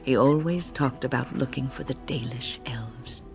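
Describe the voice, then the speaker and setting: An actress playing an older female mage mentor in a fantasy game, measured and confessional, full of grave regret and compassion. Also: very deep voice, darkish tone, faint music behind. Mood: grieving, remorseful. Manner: measured, reflective, confessional, emotive. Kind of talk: dramatic scripted game dialogue, storytelling.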